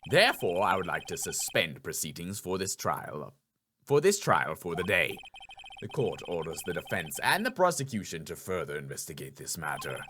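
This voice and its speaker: A man's voice: low-pitched, sing-song, normal speed, bright, forceful tone, slight background noise, voice pushed down, exaggerated voice. A man voicing a stern, ponderous elderly judge from a video game, speaking in formal courtroom pronouncements. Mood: solemn, formal.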